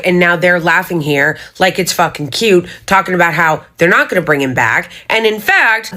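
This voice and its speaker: A woman's voice: fairly low pitch, a bit bright. An American woman giving an indignant political rant for social media, blunt and swearing freely.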